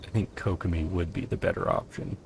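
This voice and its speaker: A man giving casual gameplay commentary, low-key and hesitant, thinking out loud as he plays. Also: fairly even intonation, brisk, creaky, slight background noise. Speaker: gaming streamer. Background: faint game music.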